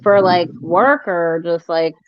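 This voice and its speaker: A sniffly woman hosts a relaxed chat over a video call, laughing often and dropping casual slang. Her voice comes through dry and a little muffled, like low-fi call audio. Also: slow, smooth, no echo. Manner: laughs often, says "y'all" and "hella". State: sniffly, getting over an illness. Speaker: stream host.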